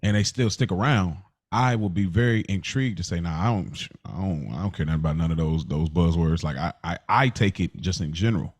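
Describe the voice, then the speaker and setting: A man's voice: deep voice, a little fast, few pauses, dry recording. A man co-hosting a podcast in casual conversation, speaking earnestly and emphatically, often repeating himself. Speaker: podcast co-host.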